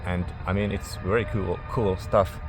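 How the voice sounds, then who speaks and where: Low-voiced man, halting speech, music behind. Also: steady tone. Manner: halting, searching for words. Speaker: music reviewer. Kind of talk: casual album review.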